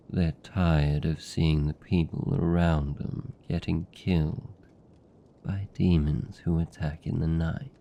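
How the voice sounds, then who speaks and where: A man's voice: extremely low pitch, dark tone, muffled recording, exaggerated voice. A British man playing a seductive, possessive vampire captor in an intimate fantasy ASMR roleplay, teasing and condescending in manner. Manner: slow, teasing, condescending, velvety menace.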